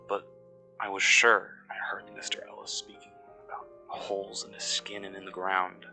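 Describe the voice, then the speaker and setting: A low-pitched, uneasy and cynical excavation worker , played by a man, narrates a mystery audio log. The delivery is measured and brooding, pausing mid-sentence. Music plays behind the voice. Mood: disturbed, intent. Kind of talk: scripted first-person mystery narration.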